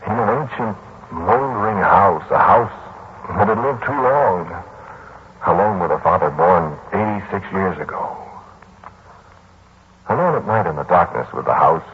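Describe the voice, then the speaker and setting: A muffled, noisy 1940s radio recording of a brooding, scholarly lodger. His voice is very low, and he tells his haunted tale in a ruminative, halting, theatrical way. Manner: hesitant, ruminative, theatrical pauses. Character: brooding male mathematician lodger recounting a haunting mystery. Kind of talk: 1940s radio drama narration.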